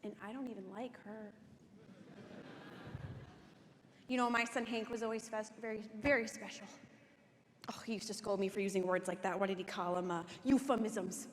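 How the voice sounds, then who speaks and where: A woman's voice: medium pitch, fairly even intonation, a little fast, natural voice. A young woman playing a cheerful, prim middle-aged crafter in a dramatic monologue, in an echoey hall. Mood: rueful. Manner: bright, folksy, cheerfully prim. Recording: echoey hall, faint noise.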